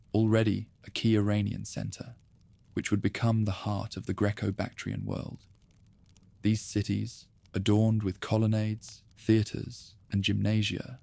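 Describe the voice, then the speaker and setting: A man narrating a calm, scripted history documentary in a soft, even, unhurried way. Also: on the low side, gravelly, no echo.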